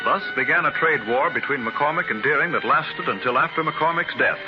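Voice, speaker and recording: A man's voice: fairly high pitch, a little fast. A man narrating a biographical radio drama in a declamatory, stately style. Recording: dull 1930s radio transcription with music. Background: music.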